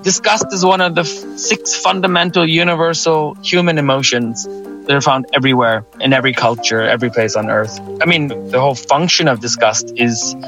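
A man's voice: mid-range voice, fairly even intonation, fairly quick, fairly bright tone, soft background music, low-quality recording. Hesitant man explaining at length. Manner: hesitant, thinks aloud with fillers and restarts. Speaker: museum curator, guest. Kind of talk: casual interview explanation.

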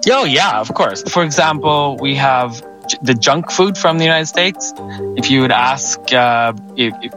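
A man from Sweden, a museum co-founder, talking in an interview with many fillers and restarts and lively emphasis. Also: mid-range voice, measured pace, over music.

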